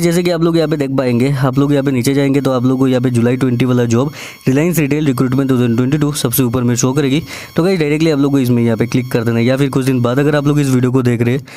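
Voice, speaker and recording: Fast-talking Indian man explaining steps. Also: medium pitch, mellow. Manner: repetitive step-by-step explaining, addressing viewers directly. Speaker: job vacancy channel presenter. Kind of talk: screen-recorded tutorial voice-over.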